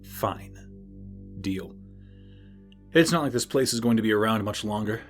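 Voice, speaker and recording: A man's voice: lowish voice, animated, a little slow. A man plays a bitter, scheming bounty hunter from an audio drama. He is dour and threatening, with sarcasm in lines that rise and fall widely. Music plays behind him. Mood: scheming, smug.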